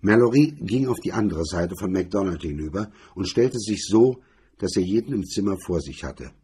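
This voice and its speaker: A man with a husky, rough-edged voice reading hardboiled crime fiction as a scripted narration. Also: deep voice, lively intonation, normal speed, warm tone.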